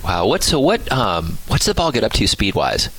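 A man with a fairly low voice hosts a sports talk radio show. He talks conversationally, often restarting his questions as he goes. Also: sing-song, moderate pace, fairly bright tone, slightly rough.

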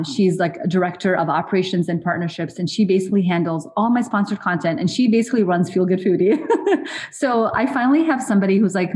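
Chatty woman, Arabic her first language. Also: lowish voice. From outside the United States. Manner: chatty, lots of fillers, animated when telling stories. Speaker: food blogger guest. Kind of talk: casual interview chat.

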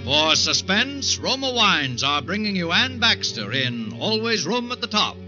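A man's voice: high voice, big pitch swings, a little slow. A man announcing a 1940s radio thriller in a dramatic style. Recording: dull 1940s radio recording, loud music.

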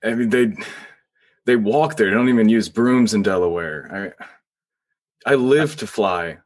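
A man's voice: on the low side, measured pace, close to an everyday voice. A man playing an anxious, pleading young wizard in an improvised comedy sketch, stammering and repeating his words.

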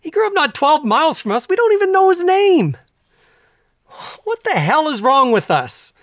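An actor with a Canadian accent playing a troubled, earnest middle-aged rural husband in an audio drama, speaking plainly and haltingly. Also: male voice, extremely high pitch, very animated, a bit bright, dry recording, clean studio sound, exaggerated voice. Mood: anguished, angry. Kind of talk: naturalistic audio drama acting.

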